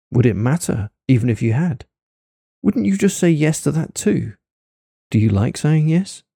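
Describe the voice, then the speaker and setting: A man guides a hypnosis session in a slow, coaxing, deliberate way. His voice is very dark and mellow with a gravelly edge. The recording is dry and close. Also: medium pitch, no room sound. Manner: rapid-fire questions. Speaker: hypnosis narrator.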